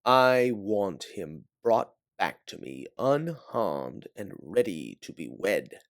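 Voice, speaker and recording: A man's voice: on the low side, sing-song, takes it slowly, no room sound, clean studio sound, pitched above the performer's own voice, exaggerated voice. A man voicing a haughty, menacing, wealthy crime boss with an imperious manner in an audiobook. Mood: cold, commanding. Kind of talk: audiobook character voice.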